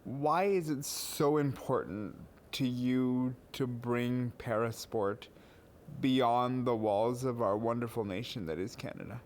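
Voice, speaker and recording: A man hosting a podcast, asking interview questions in a measured way with some fillers. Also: mid-range voice, a little mellow, slight background noise. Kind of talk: scripted intro then interview questions.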